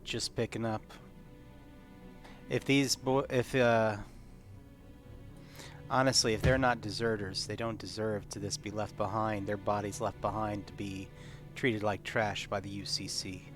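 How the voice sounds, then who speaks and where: A man roleplays an earnest male marine in a live tabletop game. The voice is fairly low and natural, a little hesitant in delivery, with music clearly playing behind. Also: little pitch movement, measured pace, long pauses, close to an everyday voice. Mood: earnest, pleading. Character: earnest male marine pleading for fallen comrades. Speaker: player.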